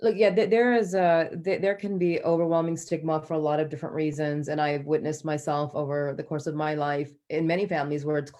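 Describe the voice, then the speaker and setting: A woman's voice: low-pitched, flat delivery, brisk, few pauses, warm tone. A woman giving a candid answer on an online panel, emphatic, building her points through repeated phrasing. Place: online video call.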